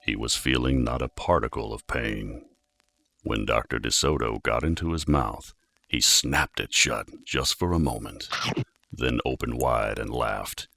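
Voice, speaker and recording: Extremely deep, gravelly male storyteller. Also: a little slow, bright, forceful tone. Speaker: audiobook narrator. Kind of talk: children's storybook narration, scripted.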